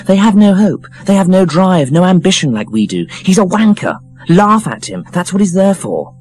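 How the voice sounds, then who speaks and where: A man's voice: high voice, big pitch swings, normal speed, darkish tone, pitched above the performer's own voice. A man voicing a snobbish, scathing young woman, blunt and emphatic, for an audiobook. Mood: scornful, insistent. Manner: sneering, emphatic, cutting. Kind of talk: audiobook character voice.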